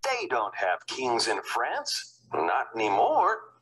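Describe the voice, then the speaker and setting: A man's voice: medium pitch, wildly swooping pitch, takes it slowly, a bit bright, voice pushed down, heavily acted, low-quality recording. A performer voicing a stern, self-important man, a formal and authoritative President in a storybook. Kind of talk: storybook character reading.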